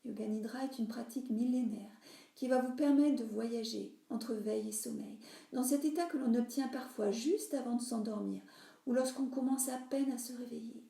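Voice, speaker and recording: A woman guides a relaxation practice in a roomy, echoing recording. Her voice sits a little high yet stays mellow. She instructs soothingly, letting phrase endings soften into breath. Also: moderate pace, vocal fry. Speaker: yoga nidra teacher.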